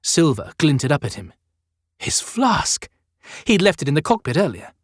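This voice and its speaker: A man narrating an audiobook in a theatrical, suspenseful style with deliberate emphasis. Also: on the high side, sing-song, dry recording, clean studio sound. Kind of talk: dramatic scripted fiction narration.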